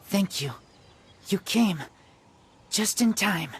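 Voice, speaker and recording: An actor playing an earnest, feral teenage boy raised by wolves in an anime game, speaking in halting, broken phrases. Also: medium pitch, animated, noisy background. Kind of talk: anime game character acting.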